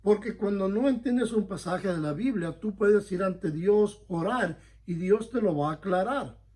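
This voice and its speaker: A man's voice: sits high, measured pace, darkish tone. A male pastor teaching a Bible study in an earnest, conversational way, often checking in with his listeners and correcting himself as he goes. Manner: frequent self-corrections.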